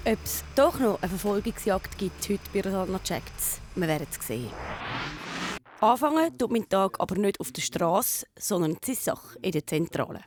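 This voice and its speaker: A woman's voice: mid-range voice, very animated, moderate pace, darkish tone. A woman with a Swiss German accent, presenting and narrating a scripted children's documentary.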